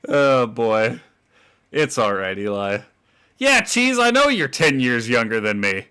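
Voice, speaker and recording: A young man in his twenties with a fairly deep voice, chatting casually as a podcast host. His pitch swings widely as he teases the others, and his tone is bright and forceful. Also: big pitch swings, a little slow, clear, resonant tone. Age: 20s. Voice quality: relatively deep voice. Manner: teasing, laughs often. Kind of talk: casual chat.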